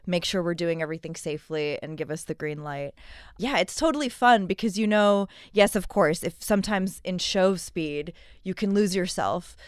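An American woman speaks with a low, resonant voice in a close, dry recording. She talks conversationally, as an actor being interviewed about her work. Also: smooth, dry recording. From San Diego, California.

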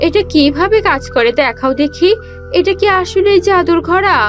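A woman's voice: very high voice, moderate pace, soft background music. A woman voicing a bossy, nosy merchant's wife in a fairy-tale cartoon, scolding and exclaiming. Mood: startled, eager.

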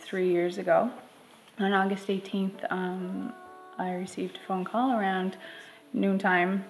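A woman in her thirties telling a personal story in a quiet, measured way, in a living room. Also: mid-range voice, flat delivery, vocal fry, soft background music, rough recording. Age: 30s. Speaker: grieving sister. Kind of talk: personal testimony.

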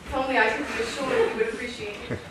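A woman's voice: on the high side, fast talker, natural voice. A woman playing a melodramatic, anxious wife and mother in an improvised staged comedy reading. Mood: earnest. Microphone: far camera. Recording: distant, echoey, very noisy theater recording.

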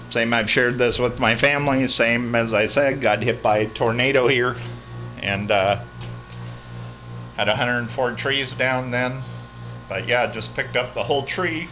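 A man in his fifties with an American accent talks casually and matter-of-factly as he walks around with a handheld camera. His delivery rambles at an ordinary middle pitch. The audio is dull and lo-fi, with music clearly playing behind him. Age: 50s. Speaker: vlogger, farm resident. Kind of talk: casual handheld walk-through commentary. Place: indoors, cluttered concrete basement. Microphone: handheld camera at arm's length. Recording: dull lo-fi camera audio, loud background sound. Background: loud continuous radio playing.

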